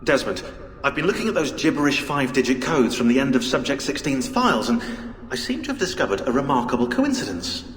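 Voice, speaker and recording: A man playing a pedantic, dry historian in a video game, thinking aloud haltingly. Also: mid-range voice, very animated, close to an everyday voice. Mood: sardonic, intrigued. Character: fussy, pedantic, sardonic adult male historian on an assassin team. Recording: long echoing hall reverb, faint music behind.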